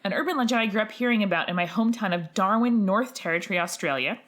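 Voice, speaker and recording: A woman's voice: mid-range voice, lively intonation, fast, fairly bright tone. A woman co-hosting a podcast, reading listener stories aloud and chatting casually with wry, playful asides. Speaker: podcast co-host.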